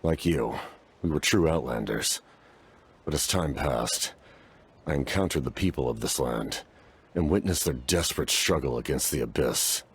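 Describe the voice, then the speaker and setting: An actor playing a stoic, war-weary knight-commander in a fantasy game, speaking formally and gravely. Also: male voice, extremely low pitch, animated, moderate pace, exaggerated voice. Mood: solemn, reflective.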